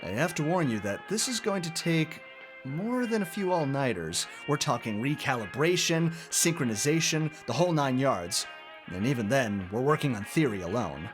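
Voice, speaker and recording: A man playing an eccentric, proud amateur inventor in an audio drama, speaking grandly with dramatic pauses. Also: medium pitch, very animated, close to an everyday voice. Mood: grandiose. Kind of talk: indie audio drama acting. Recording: music under the voice. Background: music.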